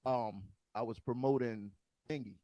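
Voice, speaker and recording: A man's voice: medium pitch, fairly even intonation, a little slow, dry recording, muffled recording. An American man who hosts and DJs, telling stories in a casual interview with stumbling restarts. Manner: storytelling, stumbling restarts, laughs often. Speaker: DJ and podcast host.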